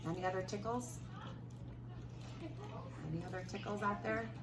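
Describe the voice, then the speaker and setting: A woman's voice: mid-range voice, moderate pace. A woman teacher reading a storybook aloud expressively, prompting children to join in as she goes. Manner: animated, prompting the children to answer. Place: classroom, recorded from some distance. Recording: roomy, distant, noisy classroom recording.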